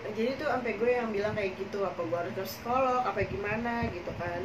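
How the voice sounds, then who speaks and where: A young woman chatting casually, hoarse and coughing, rambling with many fillers as she tells her own experiences. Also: medium pitch, quick pace. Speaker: podcast co-host telling her own experiences. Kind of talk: casual chat in Jakarta slang. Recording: echoey room, far from the mic, noisy.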